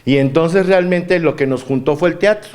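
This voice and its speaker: A man's voice: a little high, normal speed, a little mellow. A man in his fifties with a Mexican Spanish accent, a guest telling stories in a campy, playful way. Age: 50s. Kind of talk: casual chat.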